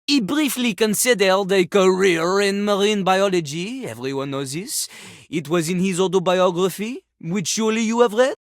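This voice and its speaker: A woman's voice: medium pitch, normal speed, dry recording, studio quality, natural voice. A woman voicing a pompous, boastful male French poet-rapper for a video game, with a French accent and haughty, theatrical delivery. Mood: haughty disdain. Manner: haughty, dramatic, speaks of himself in third person.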